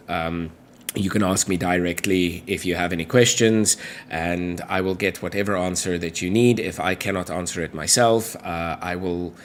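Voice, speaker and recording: A man's voice: low-pitched, slight background noise. A man hosting a livestream Q&A, chatty and hesitant, with many fillers. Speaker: livestream co-host. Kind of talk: casual livestream Q&A.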